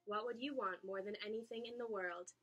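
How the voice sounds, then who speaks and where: A woman voicing an encouraging, hopeful father in a children's storybook, gently prompting. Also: mid-range voice, fairly even intonation, a little fast, muffled recording. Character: encouraging, hopeful dad of a young girl. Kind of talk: children's storybook read-aloud.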